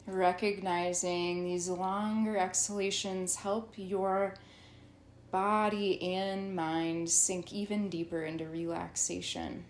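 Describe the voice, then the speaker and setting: A woman leading a guided yoga nidra meditation as a teacher, soothing and instructional, with long pauses. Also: on the low side, flat delivery, echoey room, a little hiss or hum.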